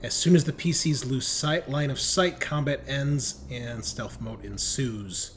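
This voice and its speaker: A man's voice: mid-range voice, measured pace. Male game master, informal, jokey, casual rules talk, some background noise. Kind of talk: running a tabletop roleplaying game, casual.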